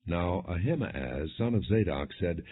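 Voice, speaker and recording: Deep-voiced man narrating scripture, muffled recording. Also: animated, a little slow, slightly rough. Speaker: audiobook narrator. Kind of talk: non-dramatized scripture narration. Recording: muffled, phone-like lo-fi audio.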